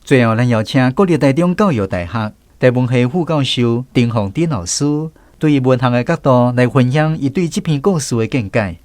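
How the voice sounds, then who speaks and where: A man's voice: mid-range voice, expressive, normal speed, few pauses, a little mellow, no echo. Dry-sounding Taiwanese male radio host. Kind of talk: scripted radio presenting.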